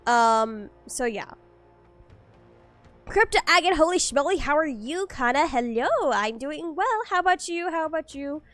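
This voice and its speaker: A woman's voice: high voice, lively intonation, unhurried, a bit bright, faint music behind. A woman streaming a game, chatting with her viewers in a rambling, casual way, a little stuffy from allergies. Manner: rambling, lots of fillers and restarts, chatty with viewers. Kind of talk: casual gameplay stream chat. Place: at home.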